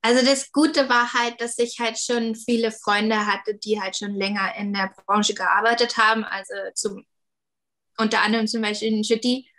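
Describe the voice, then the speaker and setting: A woman's voice: fairly high pitch, level tone, moderate pace, fairly bright tone. A woman in her thirties, a 3D artist talking casually in an interview, with lots of fillers and hedges. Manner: chatty, many fillers and hedges.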